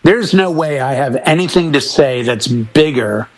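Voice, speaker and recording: A man's voice: medium pitch, lively intonation, measured pace. Man chatting casually in a mid-range voice. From Boston area, United States. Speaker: wedding DJ, panel member. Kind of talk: casual panel chat about music.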